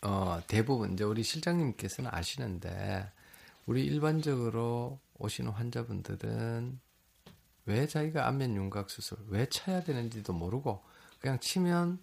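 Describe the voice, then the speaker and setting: A man who works as a cosmetic surgeon, with a gravelly, husky voice. His pitch moves up and down a lot. He explains things in a casual, agreeable way. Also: lively intonation, normal speed, a little mellow.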